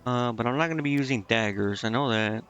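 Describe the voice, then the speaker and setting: A man's voice: medium pitch, fast, a bit bright, smooth, highs cut off. A man thinking aloud in casual, indecisive gameplay commentary, muttering to himself as he streams. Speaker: game streamer.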